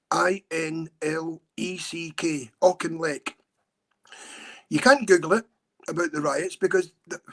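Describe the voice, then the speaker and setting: A middle-aged Scottish man telling a story in an earnest, urgent way. He talks a lot, in a casual podcast chat. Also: high-pitched. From Scotland. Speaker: campaigner and guest.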